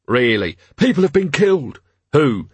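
A man's voice: fairly high pitch, big pitch swings, a little slow, husky, dry recording, pitched above the performer's own voice, exaggerated voice. A man voicing a weary, sardonic male city watch commander in an audiobook, dry and clipped, with ironic authority. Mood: dry, questioning. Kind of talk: audiobook character voice.

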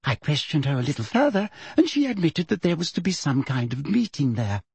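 Man putting on a sugary, high-pitched female official. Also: big pitch swings, a little fast, vocal fry, a little mellow, slightly rough, no room sound, full character voice. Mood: simpering. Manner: silky, simpering, condescending. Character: sugary, sinister middle-aged female official, falsely sweet.

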